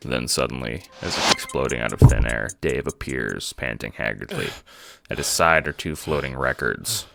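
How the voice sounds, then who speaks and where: A man's voice: very deep voice, moderate pace, gravelly, bright, forceful tone, faint music behind. A man narrating a scripted second-person audiobook drama.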